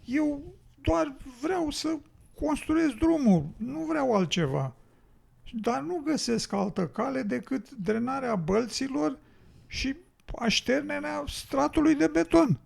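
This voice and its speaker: An anxious, well-meaning male road builder as a man acts it in a radio drama. The plaintive voice is very gravelly and dark, with big swings in pitch. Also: lively intonation, full character voice. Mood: anxious, apologetic.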